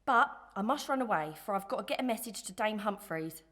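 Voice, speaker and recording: A woman playing a lively, gossiping young servant in an audio melodrama, chatty and emphatic, pert but good-hearted. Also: mid-range voice, fairly quick. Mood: confiding.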